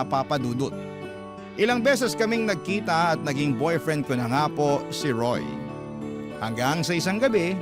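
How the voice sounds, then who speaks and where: A man with a Filipino accent narrating a scripted first-person story as a radio drama host reading a letter. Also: mid-range voice. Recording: clear guitar music under the voice.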